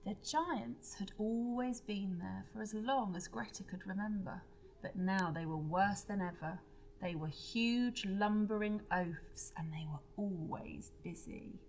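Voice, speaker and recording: A woman reads a children's story aloud in the manner of a teacher. Her pace is slow and her pitch is medium, and she breaks off to explain or question her listeners. Faint noise sits behind her. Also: darkish tone, lo-fi sound. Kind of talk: expressive children's storybook read-aloud with asides.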